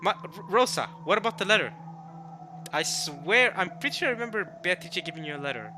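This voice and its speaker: A man who speaks English as a second language, giving casual, rambling let's-play commentary and reading game text aloud, with excitable bursts. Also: high voice, brisk. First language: not English. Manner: rambling, repeats and rephrases himself, excitable bursts. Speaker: streamer playing and reading a visual novel. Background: game music.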